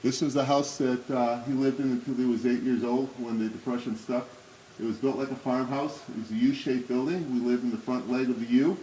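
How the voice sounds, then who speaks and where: A man in his sixties giving a remembrance presentation at a synagogue, showing slides and reading memoir excerpts aloud, with frequent pauses and fillers. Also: mid-range voice, fairly even intonation, mellow, a little hiss or hum, rough recording.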